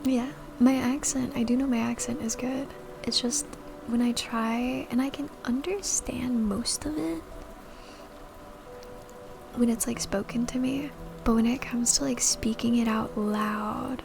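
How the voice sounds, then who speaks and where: A woman streamer whispering soft, giggly ASMR chat to her viewers into a sensitive mic. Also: on the high side, fairly even intonation, a little slow, gravelly, over music. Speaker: VTuber streamer.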